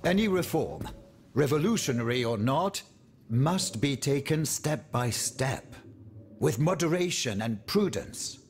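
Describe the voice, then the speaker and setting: Prudent, mid-pitched period politician. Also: male voice, lively intonation, a little slow, darkish tone, slight background noise. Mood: cautious. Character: cautious, prudent male moderate politician. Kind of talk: game cutscene voice acting, period drama.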